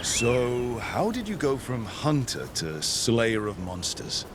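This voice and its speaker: An actor playing a curious, encouraging adventurer companion in a video game, with an inquisitive, conversational way of talking. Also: male voice, medium pitch, wildly swooping pitch, takes it slowly, background noise. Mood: probing. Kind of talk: video game dialogue acting.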